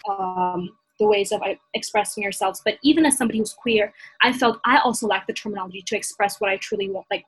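Breathy young woman talking earnestly. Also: medium pitch, poor audio. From Hong Kong. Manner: earnest, reflective, frequent fillers. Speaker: panel guest. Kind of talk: casual discussion.

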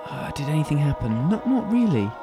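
A man's voice: on the high side, very animated, fairly quick, over music. A British man co-hosting a casual show, bantering in a jokey, rambling, self-deprecating way. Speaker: co-host of a chat show about beer and snacks.

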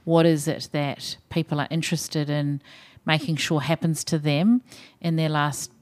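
A woman with a New Zealand accent and a low voice talks as a podcast guest. She speaks in a thoughtful, measured way and often pauses with ums as she works through her points. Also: darkish tone. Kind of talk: podcast interview.

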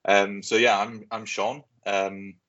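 Low-voiced young man, muffled recording. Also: flat delivery, slow, fairly bright tone. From Switzerland and Southeast Asia. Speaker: podcast guest, business leader. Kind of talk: casual interview chat. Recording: dull, muffled lo-fi sound.

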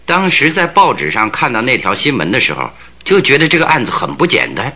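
An actor in a radio drama playing a keen, commanding male detective, deliberate and questioning. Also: medium pitch, big pitch swings, bright, forceful tone, full character voice. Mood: reflective.

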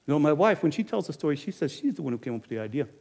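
A man performing a greedy, self-justifying innkeeper in a first-person monologue, swinging between loud bluster and hushed confession. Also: fairly high pitch, sing-song, rapid-fire, vocal fry, exaggerated voice. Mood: smug. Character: greedy self-justifying male Bethlehem innkeeper, middle-aged. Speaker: storyteller performing a character monologue.

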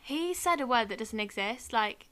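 A young woman, chatting casually on a podcast about growing up speaking Arabic, lively and quick to laugh. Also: a little high. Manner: animated storyteller, laughs often. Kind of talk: casual chat.

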